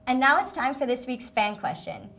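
A woman's voice: high-pitched, big pitch swings, moderate pace, a bit bright, slight background noise. A young woman hosting a student sports show from a studio desk, reading her lines upbeat on a lapel mic. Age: young adult. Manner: upbeat, reads from a script. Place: small TV studio set, indoors.

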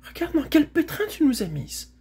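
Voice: sits high, sing-song, normal speed, mellow, voice pushed up. A storybook reader voicing a haughty young noble girl who speaks in a snappish, imperious way. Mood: exasperated.

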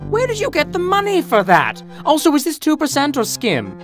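Guy doing a huge vain turtle monster boss for a cartoon, sputtery, pitch all over, bit of music. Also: huge pitch swings, a little fast, fairly bright tone, exaggerated voice. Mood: casual bossy. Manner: blustering, sputtering, defensive. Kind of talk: comedic cartoon acting.

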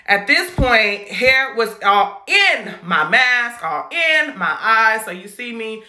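A woman's voice: a little high, very animated, takes it slowly, bright, forceful tone, echoey room. An American woman vlogger narrating casually in a playful, sassy, slangy way. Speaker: vlogger showing a hairstyle.

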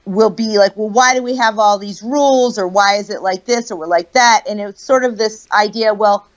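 A woman's voice: medium pitch, moderate pace, dull audio. A woman joining a livestream over a video call, explaining her views in a casual discussion and quoting others to make her point. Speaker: livestream guest.

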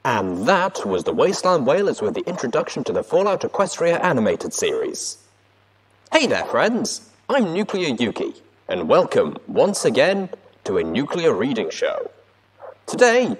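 A man hosts a podcast like a chummy radio DJ. His pitch swings widely and theatrically from phrase to phrase. There is clear noise in the recording. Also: wildly swooping pitch, measured pace, low-quality recording. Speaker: podcast host. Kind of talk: upbeat radio-DJ style show introduction.

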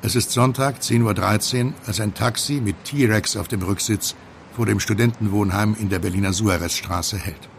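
An actor as the knowing, measured narrator of a German conspiracy audio drama. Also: male voice, fairly low pitch, creaky, slight background noise.

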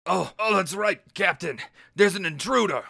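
Man acting a gravelly scheming pirate thug. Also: high-pitched, lively intonation, moderate pace, bright, forceful tone, no echo, clean studio sound, exaggerated voice. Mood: startled. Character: scheming rough pirate underling. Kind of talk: anime-style manga dub acting.

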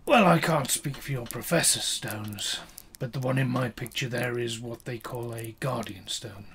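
A man's voice: medium pitch, normal speed, a bit bright, a little hiss or hum, lightly acted. An actor playing a polite but evasive male archaeologist in an audio drama. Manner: polite, hesitant, faintly evasive. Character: genial middle-aged male archaeologist, evasive. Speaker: academic.

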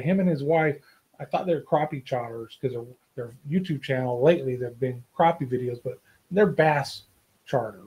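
A man's voice: a little high, slightly rough, highs cut off. Chatty man in his fifties. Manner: chatty, keeps asking "right?" and "okay?". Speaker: outdoors vlogger. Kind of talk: casual to-camera vlog. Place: small home office. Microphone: desk camera, head and shoulders.

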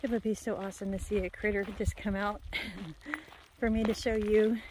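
A woman's voice: medium pitch, steady tone, moderate pace, noisy background. A woman vlogger chatting casually and unscripted to viewers while out walking, a little out of breath, recorded on a handheld phone outdoors. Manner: rambling, unscripted, addressing viewers. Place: outdoors on a rural gravel road.

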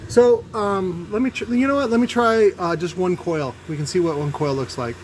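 Male workshop host, slightly high voice, halting and opinionated, off-the-cuff tech talk over faint background noise. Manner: halting, thinking aloud, opinionated. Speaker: host demonstrating speaker tests. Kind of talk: casual unscripted technical commentary. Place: indoor workshop at a cluttered bench. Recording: noisy workshop with background hum.

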